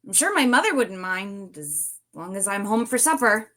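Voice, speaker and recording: A woman's voice: mid-range voice, big pitch swings, moderate pace, fairly bright tone. A woman voicing a polite, helpful young girl in a cartoon script reading, speaking earnestly. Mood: urgent, reassuring. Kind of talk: cartoon table read.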